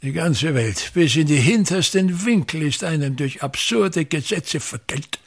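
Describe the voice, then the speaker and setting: An actor playing a vain, embittered older theatre actor and playwright in a radio play, domineering and declamatory, with an Austrian accent. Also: male voice, fairly high pitch, lively intonation, a little slow. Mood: imperious, indignant. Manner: theatrical, declamatory, haranguing, repeats words.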